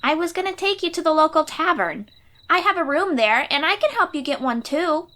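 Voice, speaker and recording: A woman's voice: high-pitched, lively intonation, fairly quick, bright, forceful tone. A woman voicing a confident, chatty thirteen-year-old sea-dweller girl warrior in a fantasy audio roleplay, perky and informal. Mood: friendly, confident.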